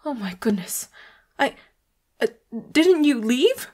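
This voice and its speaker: A woman playing a sweet, secretive boss who is a hidden leopard hybrid in a roleplay audio drama, soft and breathy, often slipping into whispers. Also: high-pitched, big pitch swings, slow pace, a little hiss or hum, full character voice. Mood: flustered, panicked. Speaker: voice actor.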